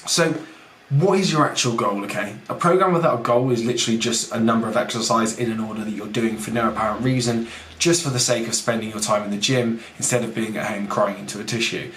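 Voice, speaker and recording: A young man with a husky, fading voice, a fitness coach hosting a casual podcast who rambles, mocks himself and talks straight to the listener. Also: mid-range voice, quick pace, a bit bright. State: husky, losing his voice. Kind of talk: casual podcast talk, teaching. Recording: echoey room with faint background noise.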